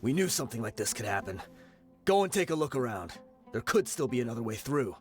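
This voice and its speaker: A man's voice: mid-range voice, big pitch swings, quick pace, faint music behind, close to an everyday voice. A man doing an eager, loyal desert mercenary. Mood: urgent. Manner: eager, encouraging.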